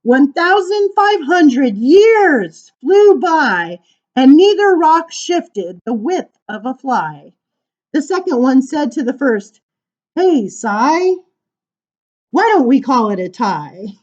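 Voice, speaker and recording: A woman reading poems aloud to children as a storytime librarian, with animated, sing-song emphasis and casual asides. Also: high-pitched, takes it slowly, muffled recording.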